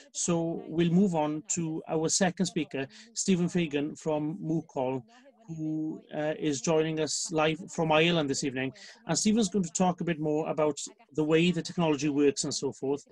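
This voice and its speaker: A man's voice: fairly high pitch, steady tone, normal speed, darkish tone. A man hosting a live online farm event, putting questions and introducing a speaker with many fillers. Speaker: event host and moderator. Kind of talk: moderating a live farm webinar.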